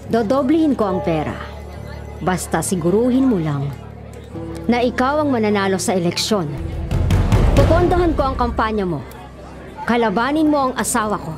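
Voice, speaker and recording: An actress playing a composed, scheming middle-aged mother with a measured, controlling manner in a radio drama. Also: on the high side, very animated, over music, crowd noise. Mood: calculating.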